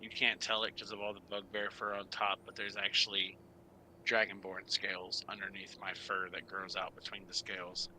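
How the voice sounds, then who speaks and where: A man playing a tabletop game, telling an improvised in-character story haltingly and correcting himself as he searches for words. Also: low voice, completely flat delivery, bright, forceful tone, poor audio. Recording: faint background noise.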